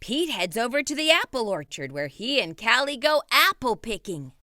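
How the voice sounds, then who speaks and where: A woman reading a children's picture book aloud, with a lively, expressive delivery. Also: fairly high pitch, normal speed, dry recording, clean studio sound. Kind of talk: read-aloud storytelling.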